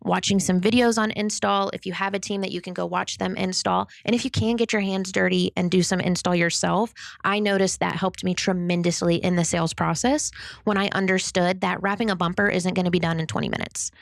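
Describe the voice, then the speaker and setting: A young woman with a high-pitched voice, co-hosting a podcast and talking through sales tips in an upbeat, candid, self-deprecating way. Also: steady tone, brisk, vocal fry, dry recording, clean studio sound. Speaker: podcast co-host. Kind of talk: solo podcast advice talk.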